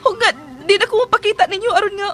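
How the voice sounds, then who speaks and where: A woman playing a timid, anxious young woman in a radio drama, with halting, stammering, sighing speech. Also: very high-pitched, fairly even intonation, fairly bright tone, soft background music. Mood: nervous, tearful.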